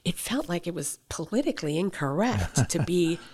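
Picture a woman with a slightly low voice telling backstage stories from her acting career. She talks animatedly and laughs often, answering an interviewer at length. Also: moderate pace, slightly rough. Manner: animated anecdotes, frequent laughter. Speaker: actress guest. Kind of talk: interview storytelling.

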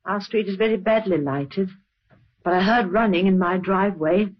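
A man playing an earnest, subdued eyewitness in an old radio crime drama, halting and respectful but sure. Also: high voice. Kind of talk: old-time radio acting. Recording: muffled old radio recording.

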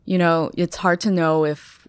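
Young woman guest, American accent, low dry voice, hesitant and reflective, many fillers. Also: fairly even intonation, measured pace, clear, resonant tone, no room sound. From China. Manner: hesitant, filler-heavy, thoughtful. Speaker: guest, strategy staffer. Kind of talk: casual podcast interview.

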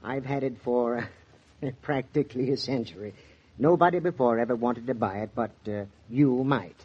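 A man's voice: mid-range voice, normal speed, breathy edge, close to an everyday voice. An actor playing an eager, hesitant shop salesman with an American accent and polite sales patter in a 1940s radio drama. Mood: coaxing. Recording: dull lo-fi 1940s radio recording.

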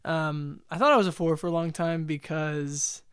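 A man's voice: fairly high pitch, steady tone, normal speed, a bit bright, smooth, dry recording, clean studio sound. A man co-hosting a podcast in casual chat, rambling with frequent laughs and fillers. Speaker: podcast co-host.

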